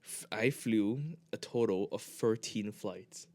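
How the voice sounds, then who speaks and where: A young man from Hong Kong chatting casually as a podcast co-host, rambling and halting with many restarts. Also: on the low side, mellow. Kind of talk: casual chat.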